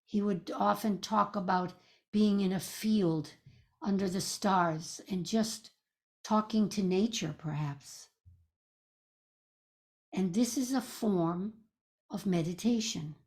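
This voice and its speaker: A woman leading a guided meditation as a teacher, speaking in a gentle, soothing, measured way with pauses. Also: medium pitch.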